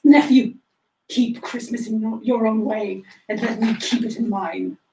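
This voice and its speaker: Give a roomy, slightly distant recording of a woman acting as a bitter miser uncle in a stage reading. The delivery is declamatory, curt and full of scorn, in a fairly high voice. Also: fairly even intonation, unhurried, gravelly, a little mellow, a little hiss or hum. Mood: scornful. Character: bitter, grumpy old miser uncle, male. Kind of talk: dramatic play reading.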